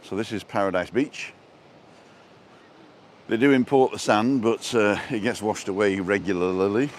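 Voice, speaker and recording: Low voiced british guy rambling on a walking livestream, jokey and chatty, some outdoor noise behind him. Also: unhurried. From born in England, lived in Wales and abroad. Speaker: travel vlogger and livestream host. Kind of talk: casual live walking-tour chat, answering viewer comments. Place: outdoors, walking along a seaside promenade. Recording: noisy outdoor street and seafront ambience.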